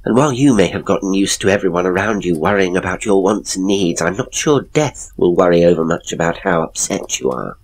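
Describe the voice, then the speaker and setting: A man voicing an ailing, frightened married woman who speaks in a halting, hushed way in a horror story. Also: deep voice, animated, rough recording. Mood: wry, weary.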